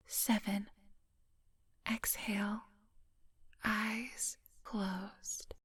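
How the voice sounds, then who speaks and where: A woman playing a coolly menacing, coaxing vampire hypnotist in a soft whispered ASMR roleplay, close to the microphone. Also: mid-range voice, breathy edge, no room sound, exaggerated voice. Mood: hypnotic, soothing. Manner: slow, coaxing, hypnotic instructions. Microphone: close microphone, dry.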